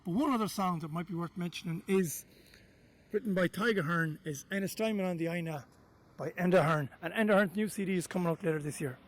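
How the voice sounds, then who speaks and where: West of Ireland man, high-pitched, roomy sound. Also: quick pace. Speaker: storyteller guiding a literary walking tour. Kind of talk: informal guided-tour talk with readings aloud.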